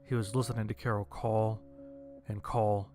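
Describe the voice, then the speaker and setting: A man's voice: lowish voice, level tone, moderate pace, mellow, soft background music. A man narrating a scripted first-person horror-comedy story in a dry, wry manner.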